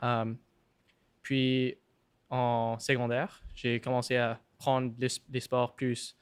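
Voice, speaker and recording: A young man, a native English speaker, speaking French in a casual interview, halting and slipping English words into his sentences. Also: medium pitch, completely flat delivery, smooth, no room sound. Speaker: podcast guest.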